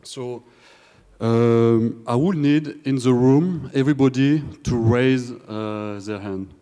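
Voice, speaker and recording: A man's voice: mid-range voice, slow pace, warm tone, clear, resonant tone. A young man with a French accent giving a conference talk, joking with hesitant fillers and restarts. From France. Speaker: conference speaker. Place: large conference hall. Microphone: handheld or stage microphone. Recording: hall reverb from a conference room.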